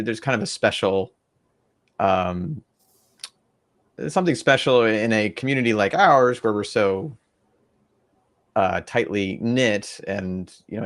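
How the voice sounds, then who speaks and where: A young man co-hosting a podcast, explaining an idea in a thoughtful, rambling way with many fillers and restarts. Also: lowish voice. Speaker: podcast co-host. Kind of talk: casual podcast discussion.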